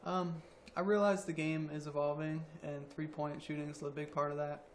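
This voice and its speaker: A young man around twenty, a college student athlete, answering interview questions quietly with many ums. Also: a little high, flat delivery, normal speed, a little hiss or hum. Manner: quiet, soft-spoken, hesitant with ums.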